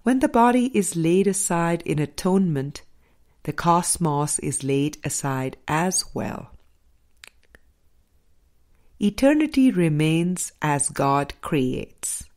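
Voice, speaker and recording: A woman reading a scripted spiritual audiobook in a measured, deliberate way, pausing between phrases. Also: fairly low pitch, very animated, a little mellow. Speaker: audiobook reader.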